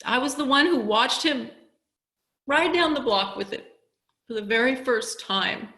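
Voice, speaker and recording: A woman playing a grieving, guilt-ridden mother in a halting, emotional theatrical monologue with heavy pauses. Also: high voice, lively intonation, bright, forceful tone, full character voice. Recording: echoey room over video call.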